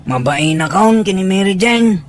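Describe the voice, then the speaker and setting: A man's voice: high-pitched, lively intonation, moderate pace. A man with a Filipino accent playing a timid, lovesick young village suitor in an audio drama, speaking meekly and hesitantly. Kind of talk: Ilocano audio drama acting. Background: background music.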